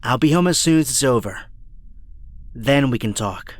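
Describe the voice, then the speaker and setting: An actor playing a reassuring young hero in an audio drama. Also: male voice, medium pitch, expressive, normal speed, no echo, natural voice. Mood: reassuring, tender.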